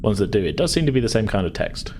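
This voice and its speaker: A man's voice: fairly low pitch, sing-song, fast talker, background noise. A man speaking as game master, improvising tabletop narration and chatting casually in a hesitant way.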